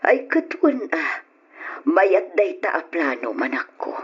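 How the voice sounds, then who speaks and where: An actress playing a caring elderly mother in an old-style radio drama, consoling and counselling her grown son with gentle sighs. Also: lowish voice, big pitch swings, slow, husky, full character voice. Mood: gentle, supportive. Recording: dull lo-fi radio drama recording.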